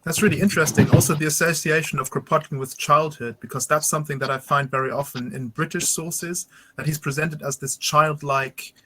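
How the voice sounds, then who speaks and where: A man's voice: fairly high pitch, steady tone, brisk, sharp tone, slight background noise, low-quality recording. A man moderating an academic panel discussion, asking reflective, articulate questions and often hedging with "kind of". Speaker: conference moderator.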